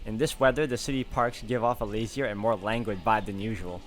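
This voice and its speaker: A man's voice: on the low side, steady tone, brisk. A man hosting a game walkthrough, commenting and reading visual novel narration aloud. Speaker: gaming walkthrough host. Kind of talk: let's play commentary and read-aloud narration. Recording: game music clearly behind the voice.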